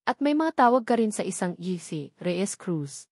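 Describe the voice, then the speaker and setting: A woman narrator plays a possessive rich wife in a drama story, with a Filipino accent. She is suspicious and demanding, recorded dry at a medium pitch. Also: sing-song, normal speed, darkish tone, no room sound.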